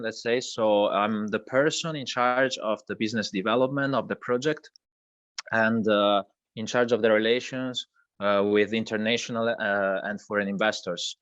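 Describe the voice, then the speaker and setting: An Italian-native man talks through his work at a moderate pitch, stopping and restarting his sentences often. The audio is dull and lo-fi, with no room sound at all. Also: steady tone, unhurried, a bit bright, smooth, no echo. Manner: hesitant, many fillers and restarts. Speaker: crypto project co-founder guest. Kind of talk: online video interview.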